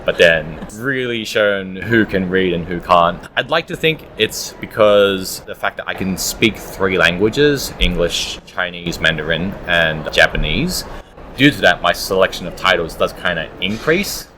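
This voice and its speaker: A man's voice: low-pitched, animated, measured pace, bright, forceful tone, a little hiss or hum. A man answering interview questions conversationally as an audiobook producer at a live event.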